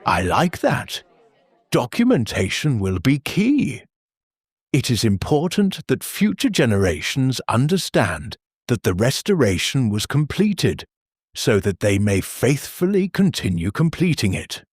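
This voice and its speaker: An actor playing an earnest, formal church meeting chairman who speaks in a measured, bureaucratic deadpan. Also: medium pitch, husky, dry recording, clean studio sound. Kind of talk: audio drama acting.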